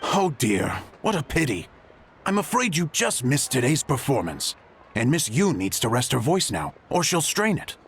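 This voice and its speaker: A man playing a refined, courteous gentleman in a video game, speaking formally and eloquently in a measured, composed way. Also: medium pitch, sing-song, a little hiss or hum. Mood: polite regret. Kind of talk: video game character dialogue.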